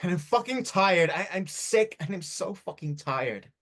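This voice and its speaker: An actor playing a bitter, worn-out addict in despair in a drama reading, agitated, defensive and stumbling over words. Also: male voice, sits high, expressive, measured pace, a bit bright. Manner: agitated, defensive, stammering, swearing. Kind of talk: script reading of a stage drama.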